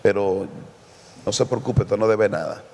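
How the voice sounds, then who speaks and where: A man from Mexico, a guest pastor, telling lively anecdotes with quoted dialogue in a casual church podcast. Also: lowish voice, measured pace, gravelly, in a large hall.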